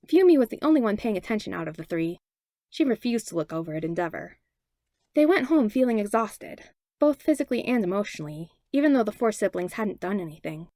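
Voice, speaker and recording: A woman's voice: fairly high pitch, a little mellow, dry recording, clean studio sound. A woman reading a story aloud as scripted narration. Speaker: podfic reader.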